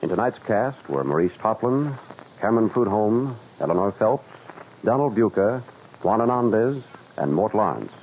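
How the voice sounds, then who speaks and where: Animated 1940s radio announcer, male. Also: fairly low pitch, moderate pace, slight background noise. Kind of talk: formal 1940s network announcing. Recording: dull 1940s radio recording.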